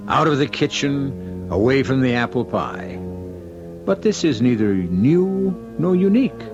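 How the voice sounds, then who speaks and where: A man hosting a radio drama with scripted narration, deliberate and portentous in manner. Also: medium pitch. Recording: dull lo-fi radio with music.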